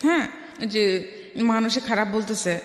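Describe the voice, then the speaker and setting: A woman's voice: mid-range voice, a little slow. A middle-aged woman with a Bangladeshi accent tells her life story as a radio interview guest, emphatically, acting out remembered dialogue. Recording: echoey room with long reverb.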